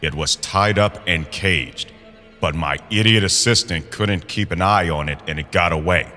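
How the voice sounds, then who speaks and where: Man playing a very deep-voiced, subservient hunter. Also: moderate pace, bright, forceful tone. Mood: pleading, angry. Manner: deferential, formal. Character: subservient, bitter hunter pleading with his leader. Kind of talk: dramatic audio drama acting. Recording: long hall reverb. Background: eerie horror music.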